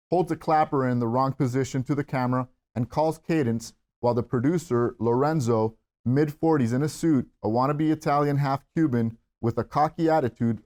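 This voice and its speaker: Male narrator-host for a script reading, medium pitch, reading out stage directions and addressing the cast. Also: darkish tone.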